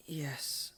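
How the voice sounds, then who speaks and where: A woman playing a shy, secretive, earnest young teenage boy in a video game, speaking in a hesitant, halting way. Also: sharp tone. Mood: reluctant.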